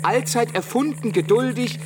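A woman's voice: fairly high pitch, normal speed, background noise, natural voice. A woman playing a mourner who calls out lamenting verse urgently and declaims it in a radio drama, recorded at some distance. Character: lamenting woman calling others to behold the Lamb.

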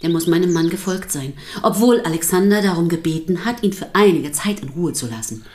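An actress playing a sceptical pastor's wife in a radio drama, dry, articulate and dismissive. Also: female voice, lowish voice, expressive, fairly quick, a little mellow, lightly acted. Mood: troubled recounting. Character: sceptical, sardonic pastor's wife under questioning. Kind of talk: naturalistic radio drama acting.

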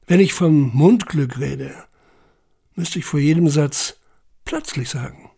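An actor playing a haunted, self-questioning camp survivor in a literary audio monologue, intense and introspective, with a husky, gravelly voice. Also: male voice, on the high side, takes it slowly, dark tone, exaggerated voice. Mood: reflective. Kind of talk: literary first-person monologue in audio drama.

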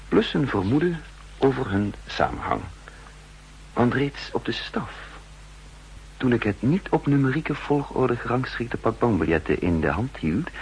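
A low, gravelly male voice for a brooding spy telling his own story in a 1960s radio play. He is grave and very deliberate, over a faintly humming old recording. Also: slight background noise, full character voice, poor audio. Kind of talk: 1960s radio play first-person narration.

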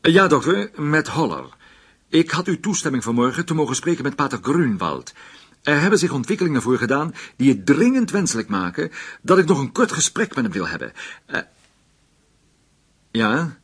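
An actor playing an authoritative, methodical police chief detective in a radio drama, with an insistent manner and a husky voice. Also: male voice, fairly high pitch, sing-song, normal speed, full character voice. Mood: measured, probing.

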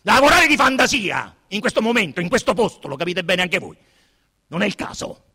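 An actor playing a stern, brooding police inspector who puts blunt, probing questions in a radio drama. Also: male voice, high voice, very animated, brisk, bright, forceful tone, husky, big-room sound, pitched above the performer's own voice, exaggerated voice. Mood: stern, warning. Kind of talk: dramatised radio literary reading.

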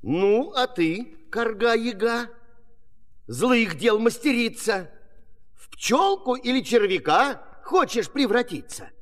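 A man's voice: very high voice, lively intonation, slow, exaggerated voice. A man playing a commanding, kindly magician in a musical fairy-tale audio play, declaiming in theatrical rhyme. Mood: challenging. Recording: long hall reverb.

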